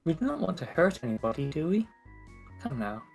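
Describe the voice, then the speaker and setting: Someone voicing a gentle, formal motherly guardian. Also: mid-range voice, sing-song, vocal fry, faint music behind. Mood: gently scolding. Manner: formal, measured, tender. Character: gentle motherly female guardian in a fantasy game. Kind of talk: video game dialogue read aloud.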